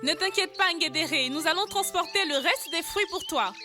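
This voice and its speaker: A woman voicing a chirpy young girl in a children's educational cartoon, lively and chatty. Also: very high-pitched, moderate pace. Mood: cheerful. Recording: music under the dialogue.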